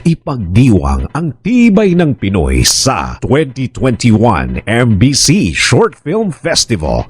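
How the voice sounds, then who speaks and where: Animated Filipino male promo announcer, slightly husky. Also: mid-range voice. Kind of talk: energetic radio advertisement read.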